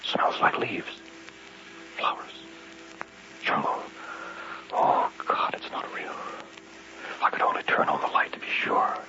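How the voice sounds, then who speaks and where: A man's voice: a little slow, long pauses, in a whisper, natural voice. An actor playing a paranoid, desperate man trapped alone in an old radio horror drama, going between muttering and panicked cries. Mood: fearful muttering. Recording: muffled, hissy vintage radio recording.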